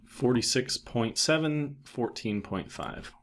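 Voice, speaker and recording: A man in his thirties giving a casual, matter-of-fact software tutorial, thinking aloud as he goes. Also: mid-range voice, expressive, takes it slowly. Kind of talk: casual screen-recorded tutorial narration.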